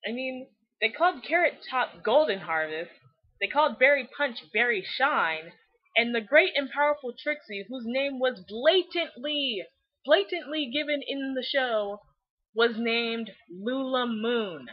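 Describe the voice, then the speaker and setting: A woman giving scripted but casual fan commentary in a blunt, slangy, opinionated way, with animated delivery. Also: fairly high pitch, a little slow, slightly rough. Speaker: fan video series host. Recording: slightly dull lo-fi recording.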